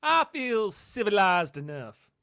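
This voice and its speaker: A man's voice: high voice, very animated, slow, gravelly, bright, forceful tone, clean tone, no echo, heavily acted. A man playing a curious, self-assured starship captain in an amateur radio drama. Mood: probing, wry. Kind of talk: amateur radio play acting.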